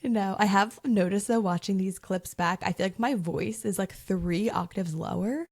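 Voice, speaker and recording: A young adult woman co-hosting a podcast in relaxed, chatty talk full of "like". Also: mid-range voice, moderate pace. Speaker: podcast co-host. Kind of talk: casual chat.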